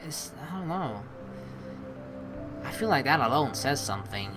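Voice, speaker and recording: A man hosting a let's play, giving casual, reflective commentary in a rambling, halting way and reading dialogue aloud. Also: medium pitch, very animated. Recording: game music behind the voice.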